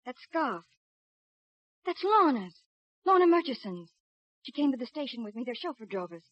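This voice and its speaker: A woman's voice: sits high, sing-song, normal speed. An actress playing an anxious, insistent young female witness, urgent and earnest, in a 1950s radio drama. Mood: alarmed. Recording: dull, dry 1950s radio recording.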